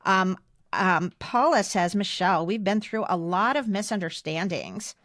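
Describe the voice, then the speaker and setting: A woman's voice: medium pitch, animated, measured pace, a bit bright, no echo. Female podcast host, 50s, American, mid-range voice, chatty with explanatory asides, very dry recording. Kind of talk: casual chat, reading old yearbook messages aloud.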